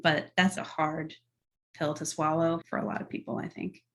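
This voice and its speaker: A woman's voice: deep voice, level tone, moderate pace. A middle-aged woman answering podcast interview questions in an articulate, earnest, enthusiastic way. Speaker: guest, tech policy executive.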